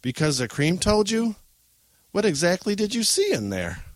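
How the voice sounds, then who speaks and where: A man's voice: high-pitched, very animated, normal speed, dry recording, voice pushed up. A man voicing an easygoing, teasing teenage older brother in an audiobook, casual and lightly skeptical. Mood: amused, skeptical. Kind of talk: audiobook character voice.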